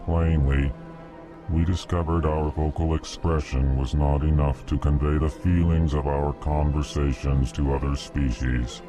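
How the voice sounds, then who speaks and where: A man voicing a huge, courteous alien ambassador in a video game, speaking in a formal monotone and flatly naming each feeling before he speaks. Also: a bass voice, a little slow, over music. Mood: solemn, explanatory. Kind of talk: video game character dialogue.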